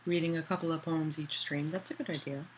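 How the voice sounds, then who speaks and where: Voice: medium pitch, fairly even intonation, fast, slight background noise, poor audio. An author livestreaming to patrons, chatting loosely and answering chat messages aloud, with many fillers and restarts.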